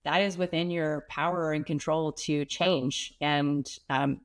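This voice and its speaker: A woman's voice: deep voice, steady tone, measured pace. A woman with a stuffy nose from a cold, a pelvic health expert speaking as an interview guest. She explains in a practical, plain-spoken way.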